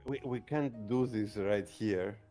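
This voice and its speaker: A man's voice: fairly low pitch, slow pace, mellow. A man playing a tabletop roleplaying game and chatting casually in character. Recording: music behind the voice.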